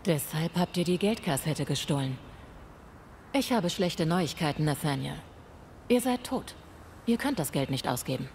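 Low-voiced, composed ghost hunter. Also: female voice, lively intonation, a little mellow. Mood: stern. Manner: formal, measured, direct. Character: composed, stern female ghost hunter turned ghost. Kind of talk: video game dialogue acting. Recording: faint ambient noise.

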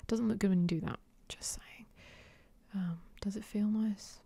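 A woman whispers right into a close microphone for an ASMR try-on, her voice breathy and mellow in a dry, deadened space. She sits a little low in pitch and keeps trailing off, hesitant and unsure, with plenty of soft ums. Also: fairly even intonation, unhurried, no echo. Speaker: ASMR creator.

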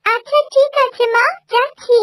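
A woman's voice: very high voice, steady tone, normal speed, background noise. A woman voicing a mistreated, meek and kindly young stepdaughter in a fairy-tale cartoon, in a pleading manner. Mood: aggrieved. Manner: pleading and obedient.

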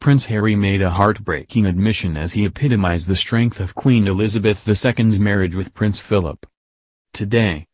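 A man narrating a scripted news piece in an even, uninflected reading style. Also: lowish voice, no echo, studio quality.

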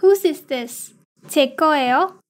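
High-pitched woman reader, extremely slow, evenly spaced lesson delivery. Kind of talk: slow reading of phrases and translations.

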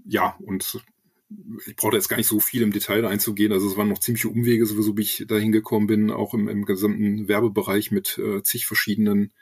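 A man's voice: lowish voice, husky. A man in his forties from the Ruhr area with a light Ruhr accent, answering interview questions in a hesitant, casual way. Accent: Ruhr German. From Essen, Germany. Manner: hesitant, many ums and restarts. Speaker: podcast guest, writer and project manager.

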